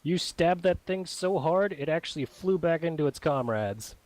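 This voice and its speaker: A man's voice: on the high side, lively intonation, normal speed, a little mellow, no echo. A man acting as game master, explaining rules and narrating the action in a casual, informal way. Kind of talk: running a tabletop game, casual.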